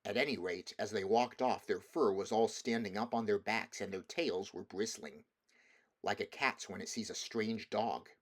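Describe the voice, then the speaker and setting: A man's voice: fairly low pitch, fairly even intonation, normal speed. A man reading a children's fantasy novel aloud as scripted storybook narration. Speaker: amateur audiobook reader.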